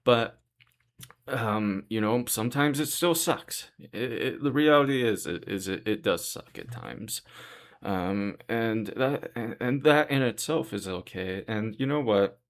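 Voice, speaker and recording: A young man with a deep voice talking earnestly and reassuringly in a rambling vlog monologue. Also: a little slow. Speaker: vlogger. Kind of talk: casual monologue to camera.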